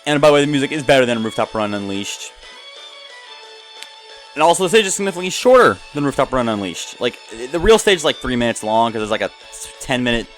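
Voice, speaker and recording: A man's voice: mid-range voice, fairly bright tone. Male gameplay host, mid-range voice, loose rambling commentary full of tangents, music playing behind him. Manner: rambling, digressive, jumps between asides. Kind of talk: casual off-the-cuff gameplay commentary. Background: game music.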